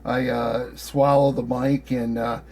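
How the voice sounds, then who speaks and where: A man's voice: medium pitch, level tone, slow, creaky, darkish tone. A man in his sixties talking casually as a radio operator, in a friendly, hesitant, meandering way. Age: 60s. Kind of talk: casual chat over ham radio.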